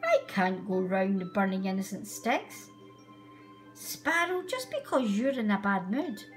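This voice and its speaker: A woman's voice: mid-range voice, very animated, unhurried, faint music behind, pitched below the performer's own voice. A woman voicing a cheerful male fire in a storybook, sizzling and cackling. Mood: cheerful, dismissive.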